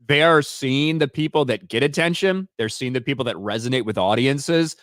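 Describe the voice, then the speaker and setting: A man's voice: medium pitch, a bit bright, no echo. Dry-miked man hosting a live chat. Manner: reads viewer super chats aloud, swears freely. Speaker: show host. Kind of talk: casual live-stream chat.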